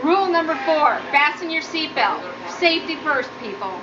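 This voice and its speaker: A woman's voice: expressive, normal speed. A woman giving a humorous prepared speech as a student, with a nervous, giggly delivery. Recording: muffled low-quality audio, noticeable background noise.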